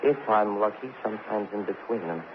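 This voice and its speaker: A man's voice: lowish voice, lightly acted. An actor playing a self-pitying, cornered drunk man who stammers and repeats himself in an old radio crime drama. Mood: desperate, confessing. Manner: halting, repetitive, stammering, street slang. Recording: muffled, hissy old radio recording.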